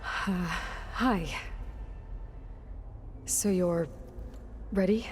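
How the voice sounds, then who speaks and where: A woman playing a guarded, determined young adventurer in a video game, with a halting, understated delivery. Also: on the low side, a little mellow, faint music behind. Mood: tentative. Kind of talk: video game cutscene acting.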